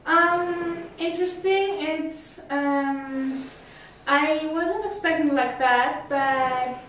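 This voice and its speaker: High-voiced teenage girl, echoey room. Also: drawn-out words, fairly bright tone, slight background noise. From Greece. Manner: hesitant, many fillers and restarts. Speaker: summer school student. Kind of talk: casual interview answers. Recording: roomy echo, mic at a distance.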